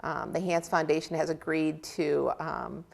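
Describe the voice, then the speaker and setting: Woman, big gravelly voice, lowish pitch, chatty and upbeat. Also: fairly even intonation, normal speed. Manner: chatty, upbeat, laughs often. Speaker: guest from a county office for older adults. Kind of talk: casual interview talk.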